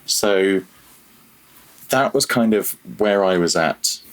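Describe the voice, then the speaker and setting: A man's voice: fairly low pitch, slow pace. A man talking casually as an interview guest, reflective and self-deprecating about himself. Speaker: podcast guest, freelance audio programmer.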